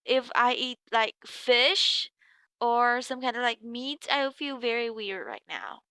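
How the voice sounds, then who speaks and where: Chatty high-voiced young woman. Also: animated, measured pace, sharp tone, clean tone, dry recording. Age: 20s. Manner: chatty storyteller, many "like"s, acts out quotes. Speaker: English tutor. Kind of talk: casual conversation practice.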